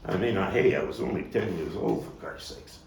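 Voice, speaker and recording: A man performing a theatrical monologue as a coarse, wry, streetwise Brooklyn man looking back on his boyhood gang, telling it with dramatic pauses and emphatic swells. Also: deep voice, expressive, vocal fry, a little mellow. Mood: wry, nostalgic. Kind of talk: theatrical monologue, table read. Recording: roomy, distant mic, faint noise.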